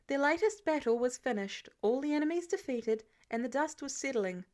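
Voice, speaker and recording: A woman reads fiction aloud in a fairly high voice. Her delivery is scripted storytelling, expressive and shaped like an audiobook. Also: moderate pace. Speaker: fan fiction audiobook reader.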